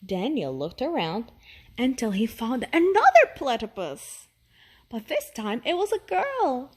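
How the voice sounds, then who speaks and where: A woman reading a children's story aloud in an expressive, deliberate storytelling manner. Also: fairly high pitch, breathy edge. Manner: deliberate, animated storytelling with character voices. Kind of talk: expressive read-aloud narration for young children.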